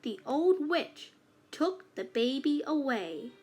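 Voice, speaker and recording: A woman narrates a children's fairytale in a high voice. She reads very slowly, phrasing each part deliberately for young listeners. Soft music plays along behind her. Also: sing-song. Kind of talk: scripted read-aloud fairytale narration.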